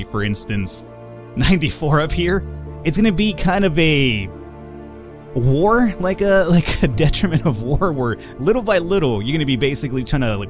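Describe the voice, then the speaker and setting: A young man hosting a let's play, walking through a strategy game in a rambling, self-correcting way, recorded at a home desk mic. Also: mid-range voice. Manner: rambling, self-correcting, chuckles at his own slips. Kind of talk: gameplay walkthrough commentary. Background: game music.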